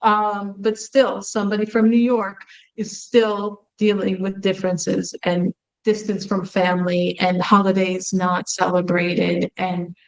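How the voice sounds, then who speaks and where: A woman's voice: mid-range voice, completely flat delivery, a little slow, poor audio. A woman hosts an interview, giving her views in an earnest, conversational way. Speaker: host, company founder.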